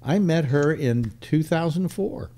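An older man hosting a casual video-call chat, rambling with halting restarts and self-mocking jokes. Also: a little high, animated, warm tone. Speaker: host. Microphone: webcam on a video call.

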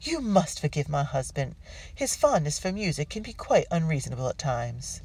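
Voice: medium pitch, sing-song, moderate pace, a little mellow, no room sound. A storyteller voicing a fussy, anxious, very polite colonial mother in an audiobook, with a proper, exclamatory manner. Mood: nervously polite.

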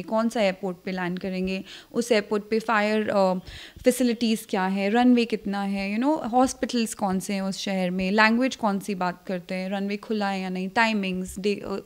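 A young woman with an Indian accent answering interview questions as an airline pilot, switching between two languages. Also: medium pitch. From Jammu, India. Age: young adult. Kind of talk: interview answers mixing Hindi and English. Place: indoor living room, seated interview. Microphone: handheld reporter mic held toward the speaker.

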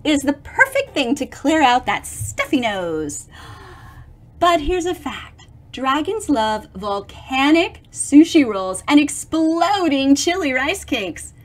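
High-voiced, highly animated woman storyteller. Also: slow pace. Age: middle-aged. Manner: expressive, theatrical, with dramatic emphasis and sound effects. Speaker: storyteller reading picture books aloud to children. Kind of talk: animated read-aloud with explanations for young children.

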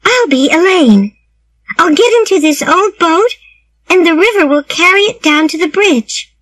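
A woman voicing an eager, dramatic young girl in a storybook reading, pitched high and full of emotion. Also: expressive, unhurried, a bit bright, no echo, full character voice, low-quality recording. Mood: playful.

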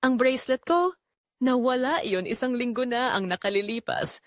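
A woman's voice: a little high, expressive, normal speed, no echo, clean studio sound. A woman narrating a dramatic first-person story as a scripted audio drama.

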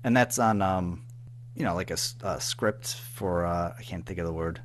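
A man speaking as an interview guest in casual chat, hesitant, halting and self-effacing as he talks about his own work. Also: low voice, a little hiss or hum. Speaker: guest, audio drama writer and producer.

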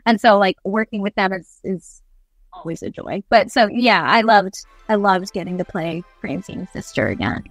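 A woman's voice: medium pitch, lively intonation, normal speed, no room sound. Young woman, interview guest, chatty and enthusiastic, casual delivery over background music. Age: young adult. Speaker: guest voice actor. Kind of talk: casual video interview, giving advice. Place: small indoor room, on a video call.

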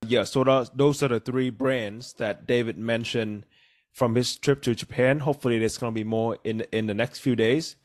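A man's voice: medium pitch, steady tone, normal speed. A man in his thirties, Vietnamese as his first language, hosting a casual podcast over a video call and explaining points earnestly with many "you know"s. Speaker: podcast host.

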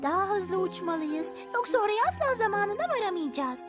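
A woman's voice: very high-pitched, sing-song, brisk, loud music behind the voice. A woman voicing an adventurous, stubborn young girl bee in a children's cartoon dub, with an eager, urging manner. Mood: eager, determined.

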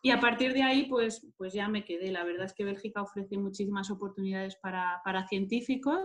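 A woman's voice: medium pitch, brisk, fairly bright tone. Woman with a Spain Spanish accent. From Madrid, Spain. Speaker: interviewee, market manager and scientist. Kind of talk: conversational interview answers. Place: indoors, plain-walled room, video call. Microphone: earphone headset on video call.

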